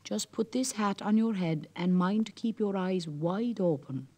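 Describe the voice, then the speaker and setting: A man's voice: sits high, a little slow, dark tone, voice pushed up. A man voicing a sly, jovial old male merrow who talks in a knowing, wheedling way.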